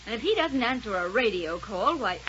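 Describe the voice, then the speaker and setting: A cautious, capable space adventurer in an old radio serial. Her voice is high and earnest. The 1930s recording sounds dull with faint noise. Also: big pitch swings, a little fast, a bit bright. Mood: worried. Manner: questioning, earnest.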